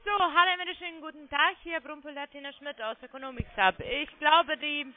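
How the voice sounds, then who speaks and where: High-pitched woman on a phone line. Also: a little fast. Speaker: phone sales agent claiming to be technical support. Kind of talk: phone sales call, step-by-step instructions.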